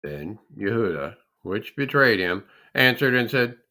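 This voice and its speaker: A man giving a solemn, deliberate reading of scripture, with dramatic emphasis on the phrases. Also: on the low side, big pitch swings, pushed and bright. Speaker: scripture reader.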